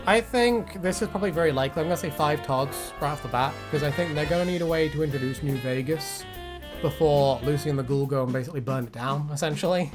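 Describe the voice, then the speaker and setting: A man's voice: on the high side, fast. A man chatting casually and jokingly as a video host, rambling and swearing freely. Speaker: YouTube host giving reactions to fan predictions. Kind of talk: casual comedic chat. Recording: music playing behind. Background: background music.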